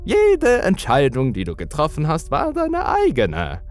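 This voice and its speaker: A man voicing a sinister old man in a horror story, coaxing and menacing, with slow, drawn-out words. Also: a little high, huge pitch swings, soft background music, voice pushed up. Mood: gracious, sly. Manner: slow, drawn-out, wheedling delivery. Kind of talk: horror audio story character voice.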